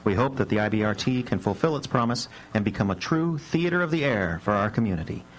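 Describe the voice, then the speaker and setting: A man's voice: on the low side, expressive, fast, rough recording. A man hosting on radio, giving a formal, scripted welcome address. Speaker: host. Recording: live radio broadcast with background noise.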